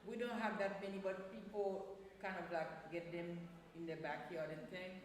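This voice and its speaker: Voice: medium pitch, flat delivery, moderate pace. A speaker from Haiti, chatting casually and hesitantly, searching for words. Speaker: improv student and guest. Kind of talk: casual chat. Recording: very echoey, noisy room.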